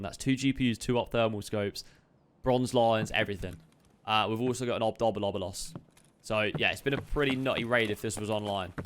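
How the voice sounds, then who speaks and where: A man's voice: medium pitch, a bit bright, a little hiss or hum. Chatty man narrating a gameplay guide. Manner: chatty, upbeat, quick asides to viewers. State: slightly chesty, occasional cough. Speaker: game streamer giving a loot guide. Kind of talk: casual gameplay walkthrough narration.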